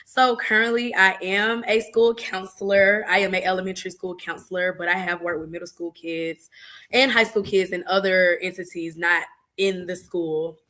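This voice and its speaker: A young woman from Georgia with an Atlanta accent and a bright, forward voice. She chats casually as a guest, playful and familiar with the audience. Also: fairly low pitch, steady tone, normal speed. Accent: Atlanta, Southern US. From Georgia, United States. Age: young adult. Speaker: interview guest, school counselor. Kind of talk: casual chat introducing herself.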